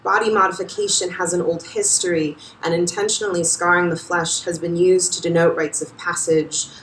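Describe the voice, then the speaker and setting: A woman reading a personal essay aloud to an audience, with a measured, low and even delivery. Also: a little hiss or hum. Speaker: reader at a nonfiction reading. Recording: roomy, distant live venue sound.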